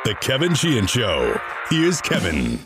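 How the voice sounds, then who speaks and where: A man's voice: medium pitch, wildly swooping pitch, a little slow. A man announcing a radio show intro in a punchy, produced style. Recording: produced intro over guitar music. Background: guitar music.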